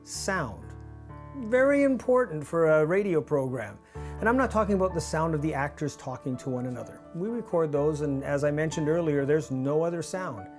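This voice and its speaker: A man in his fifties with a North American accent presents a scripted behind-the-scenes explainer in a measured, clear manner. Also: a little high, expressive, darkish tone, soft background music. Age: 50s. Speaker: radio drama director and presenter. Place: small indoor studio office with monitors. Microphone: lavalier on shirt.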